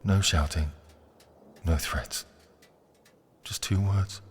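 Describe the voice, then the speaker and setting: A man narrating a dark first-person audio drama, brooding and deliberate, with dramatic pauses. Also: deep voice, steady tone. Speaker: narrator and performer. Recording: clear ambient noise behind the voice.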